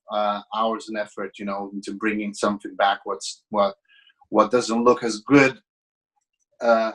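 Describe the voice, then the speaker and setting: A man of about thirty with a Latvian accent carrying a slight British tinge. He talks like a podcast guest, friendly and rambling, and often restarts his sentences. His voice sits at an ordinary middle pitch. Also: a bit bright, low-quality recording. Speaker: podcast guest, business owner. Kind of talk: casual interview chat.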